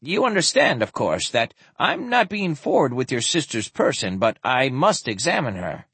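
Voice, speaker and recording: A man's voice: mid-range voice, sing-song, normal speed, fairly bright tone, breathy edge, no echo, pitched above the performer's own voice, full character voice. A man voicing a nervous, easily shocked male physician with a flustered, pleading manner, in an audiobook story.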